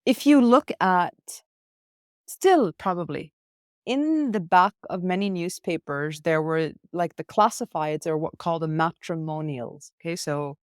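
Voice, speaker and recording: Woman from india, podcast guest, thoughtful and emphatic, lowish voice, dry close sound. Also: measured pace, no room sound. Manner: reflective, emphatic, lists ideas in a row. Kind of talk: conversational interview. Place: hostel room.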